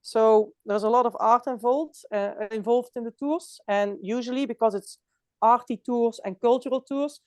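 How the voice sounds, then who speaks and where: A woman's voice: on the high side, moderate pace, darkish tone, no echo, clean studio sound. A woman from France with a Dutch accent, chatting as a guest on a video call, often laughing and restarting her phrases. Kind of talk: casual online chat.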